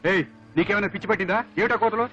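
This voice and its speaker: A sly, easily indignant young con man in a film comedy. The voice is high and sharp. The recording is slightly dull, with music underneath. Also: rapid-fire. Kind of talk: Telugu comedy film acting.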